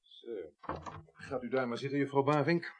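An actor playing a formal, unhurried police inspector, plain-spoken and procedural, in a Dutch radio drama. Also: male voice, medium pitch, fairly even intonation, noisy background, natural voice. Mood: formal, methodical.

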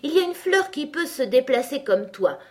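A woman's voice: high voice, sing-song, moderate pace, voice pushed up. A woman voicing a haughty, blunt talking rose in a children's storybook, with a severe, condescending manner.

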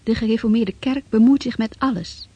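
Mellow female narrator, dry sound. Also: on the high side, fairly quick, breathy edge, dry recording. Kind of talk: scripted documentary narration.

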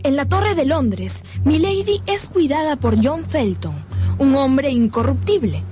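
A woman's voice: sits high, sing-song, measured pace, mellow, soft background music. A woman narrator delivering dramatic scripted narration for a radio drama.

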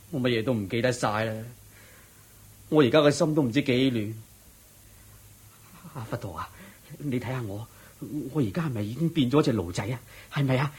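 A guilt-ridden village husband, weak-willed and easily panicked. His voice is mid-pitched and husky, with a Hong Kong Cantonese accent and an anguished, pleading delivery. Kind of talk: Cantonese radio drama acting.

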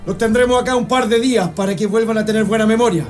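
Man playing a high-voiced, menacing Chilean army captain. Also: steady tone, fast talker, over music, lightly acted. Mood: sinister sarcasm. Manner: mock-polite, commanding. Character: menacing, sarcastic army captain running interrogations. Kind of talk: radio drama acting.

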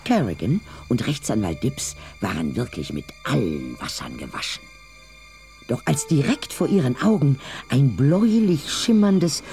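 A man's voice: fairly high pitch, creaky. A man narrating a scripted German audio drama as a storyteller. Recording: music underneath.

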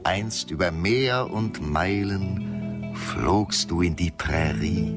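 An actor playing a solemn, dreamy reciter of nostalgic verse in a radio drama, with deliberate, lyrical delivery. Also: male voice, low voice, exaggerated voice. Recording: loud plucked guitar music behind.

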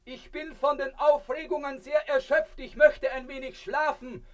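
An actor playing a mild, pedantic married civil servant who speaks with absurd calm and deliberation in a radio comedy. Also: male voice, very high-pitched, highs cut off. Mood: placid, weary. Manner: placid, deliberate, calling out. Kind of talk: theatrical radio comedy acting.

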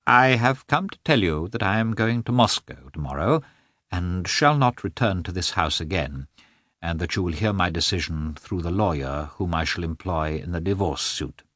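A man reading an audiobook, voicing a cold, offended aristocratic husband in a squeaky, raised voice with coldly emphatic speech. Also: lively intonation, normal speed, a bit bright, dry recording, studio quality. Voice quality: squeaky, high-strung. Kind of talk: audiobook character voice.

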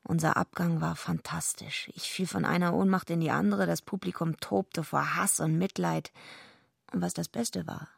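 Bitter female wrestler, radio drama narration, low and croaky, brash, dry close sound. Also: steady tone, normal speed, no room sound, exaggerated voice. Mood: subdued, weary. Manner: brash, foul-mouthed. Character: tough, bitter female wrestler telling her own story. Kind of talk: German radio drama, first-person narration and dialogue.

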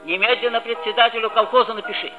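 An actress playing a bossy, hot-headed but loyal schoolboy of about thirteen, commanding and emphatic, in a 1960s Soviet radio play. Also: female voice, on the high side, steady tone, fast, natural voice. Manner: commanding, self-assured, emphatic. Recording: dull old radio recording with music.

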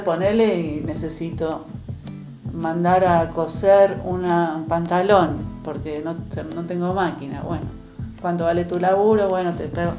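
A woman with an Argentine accent in casual, reflective conversation, hesitant and searching for words. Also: lowish voice. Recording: echoey room, music behind.

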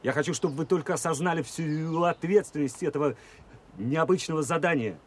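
An actor playing an earnest army officer in a radio play, giving halting, insistent instructions to soldiers. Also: male voice, fairly high pitch, slightly rough. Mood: earnest, instructing. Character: earnest Soviet officer briefing soldiers on a search. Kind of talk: Russian radio play acting.

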